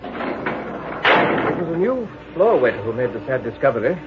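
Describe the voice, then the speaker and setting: An actor playing an anxious, officious hotel manager in an old-time radio mystery, brisk, proper and apologetic. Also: male voice, medium pitch, very animated, background noise. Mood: businesslike. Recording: dull vintage radio recording.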